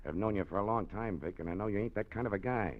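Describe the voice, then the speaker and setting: A scheming mob boss played by an actor in a vintage radio thriller, his voice low and hard. He talks in clipped, tough-guy bursts. The sound is dull and lo-fi, like an old broadcast. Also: fast, natural voice. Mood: menacing. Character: scheming male gangster boss, menacing. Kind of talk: 1940s radio acting.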